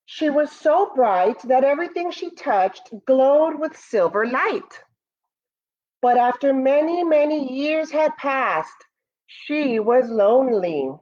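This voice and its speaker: American school teacher lady reading a kids book, highish expressive voice, kinda dull lo-fi audio. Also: takes it slowly. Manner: expressive, addresses children directly. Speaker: elementary school educator. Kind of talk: children's read-aloud with asides to pupils.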